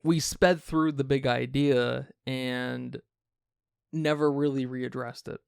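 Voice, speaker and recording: Man, film podcast host, clear voice, dry close sound, conversational. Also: mid-range voice, steady tone, takes it slowly, dry recording. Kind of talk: film review podcast talk.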